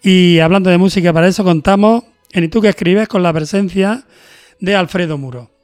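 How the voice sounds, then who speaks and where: A man hosting a cultural programme, measured and deliberate, moving between scripted narration and interview talk. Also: high voice, darkish tone, dry recording, clean studio sound. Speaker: host of a cultural radio programme.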